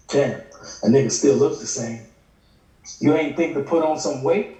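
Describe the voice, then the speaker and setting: An actor playing a brash, playful twenty-six-year-old stoner with slangy, cocky banter in a table read. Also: male voice, medium pitch, a little slow, mellow, lightly acted. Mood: teasing. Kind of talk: virtual table read of a TV pilot script. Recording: roomy, distant home recording.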